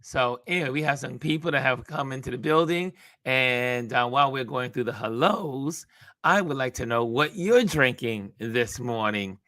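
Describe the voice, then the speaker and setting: Raspy man hosting a live stream. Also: medium pitch, animated, normal speed, no echo, clean studio sound. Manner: reads viewer comments aloud, upbeat shout-outs. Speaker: host. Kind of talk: casual live-stream greetings.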